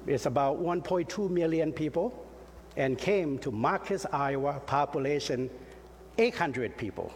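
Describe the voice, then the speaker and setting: A middle-aged pastor delivers his sermon very slowly, in a fairly high voice. He stops often to search for words and jokes about himself. His voice rings out through a reverberant church hall over a faint room hum. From Laos. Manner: halting, with frequent fillers and light self-deprecating jokes. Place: church sanctuary.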